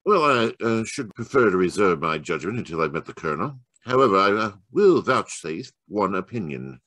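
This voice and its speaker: Guy doing a shrewd courtly detective for an old radio mystery, really animated, close dry sound. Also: fairly low pitch, normal speed, no room sound. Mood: wry, reserved. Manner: courtly, wordy, hesitant phrasing.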